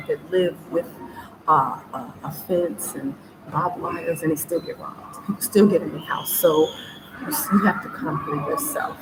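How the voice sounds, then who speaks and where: Woman vlogger, firm advice-giving delivery, audible background noise. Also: sits low. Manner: direct, emphatic, repeats key points. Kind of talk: casual advice vlog. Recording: noisy recording with background ambience.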